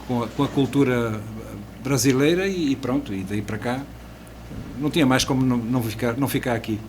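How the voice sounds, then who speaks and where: A man's voice: medium pitch, fairly quick, darkish tone, slight background noise. A man in his sixties from Portugal with a European Portuguese accent, a podcast guest telling his life story with restarts and hesitant fillers. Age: 60s. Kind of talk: casual podcast chat.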